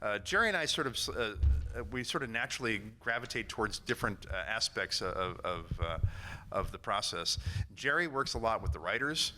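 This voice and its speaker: A man giving an informal convention panel talk in a halting way, often repeating words. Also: lowish voice, animated, bright, forceful tone. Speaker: panel speaker, audio drama company founder and actor. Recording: live panel with noticeable room noise.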